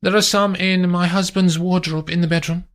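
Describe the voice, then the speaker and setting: A man reading aloud voices an imperious wealthy woman who gives crisp orders. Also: on the low side, fairly even intonation, normal speed, voice pushed up, lightly acted. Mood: commanding. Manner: clipped, haughty, gives orders.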